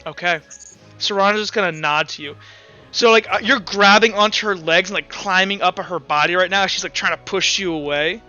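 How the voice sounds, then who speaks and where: A man working as game master for a tabletop roleplaying game, narrating off the cuff in a casual, halting way. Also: high voice, bright, forceful tone. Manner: casual, halting, uses filler words. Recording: dull lo-fi, music underneath. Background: background music.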